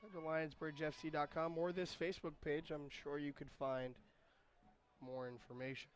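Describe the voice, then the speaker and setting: A man with a raspy, hoarse voice doing solo play-by-play commentary of a soccer match, chatty and quick to correct himself. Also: a little high, level tone. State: hoarse voice recovering. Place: outdoor stadium.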